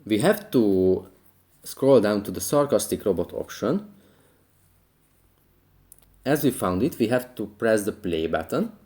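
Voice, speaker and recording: A man's voice: on the low side, expressive, measured pace, long pauses, dark tone. A man hosting a how-to tutorial, narrating scripted step-by-step instructions. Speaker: tutorial host.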